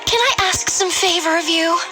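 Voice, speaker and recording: A woman voicing a young queen and mother, anxious and pleading, over loud background music. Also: very high-pitched, normal speed, pitched above the performer's own voice.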